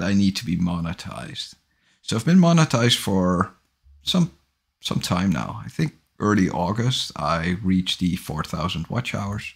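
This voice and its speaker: A man in his forties hosts a casual livestream from a small home office, speaking into a large studio microphone. His voice sits very low with a husky edge, and he talks at an unhurried pace. He rambles and corrects himself as he goes. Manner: relaxed, rambling, self-correcting. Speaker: livestream host. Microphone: large studio microphone on boom arm, headphones.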